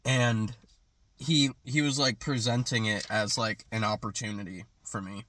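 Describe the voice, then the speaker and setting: A man's voice: on the low side, steady tone, unhurried, fairly bright tone. Congested young man rambling about a dream. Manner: hesitant, rambling, many fillers and restarts. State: congested from allergies, sniffling. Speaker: vlogger recounting a dream. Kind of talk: casual rambling monologue. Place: in a parked car.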